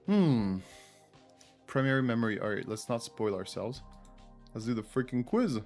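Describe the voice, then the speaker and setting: A man's voice: medium pitch, sing-song, normal speed, a little mellow, faint music behind. French-accented man hosting a casual quiz. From France. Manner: chatty, thinks aloud, talks to viewers. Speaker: livestream host. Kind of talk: casual live quiz chat.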